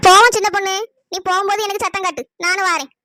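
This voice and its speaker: A woman's voice: extremely high pitch, steady tone, rapid-fire, sharp tone, no room sound. A woman voicing a sensible, chatty village neighbour who keeps the peace, in cartoon dialogue. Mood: agreeable, placating. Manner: chatty, placating.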